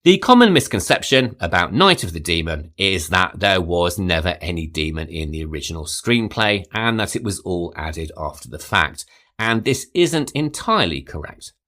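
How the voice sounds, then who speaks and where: A man hosting a podcast, reading a scripted film history in a measured, storytelling way. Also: sits low, very animated, sharp tone. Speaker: podcast host. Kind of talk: scripted narration.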